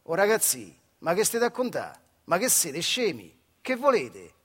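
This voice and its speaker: A man playing a gruff factory gatekeeper in radio theatre, scolding brusquely with a central Italian Marche accent. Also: sits high, wildly swooping pitch, unhurried, fairly bright tone, exaggerated voice.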